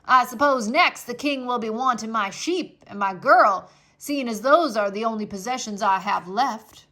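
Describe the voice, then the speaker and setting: A woman's voice: a little high, normal speed, pushed and bright. A woman doing an indignant, grumbling uncle. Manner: indignant, exclaiming. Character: poor, grumbling older man, indignant.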